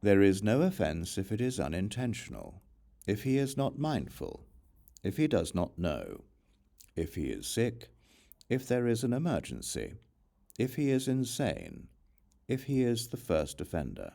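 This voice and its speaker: Male audiobook narrator, religious text, dark mellow tone, measured formal delivery, widely varied intonation. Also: on the low side, huge pitch swings, vocal fry. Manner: measured, formal, deliberate phrasing.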